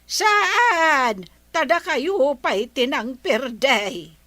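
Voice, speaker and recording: A slow, bright-voiced old grandmother, acted by a woman, scolding her family by turns and warm to her grandson. Her voice is crisp and sits a little high, in a dry, close recording. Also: animated, dry recording, full character voice. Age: older. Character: older village grandmother, sympathetic. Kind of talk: radio comedy drama acting.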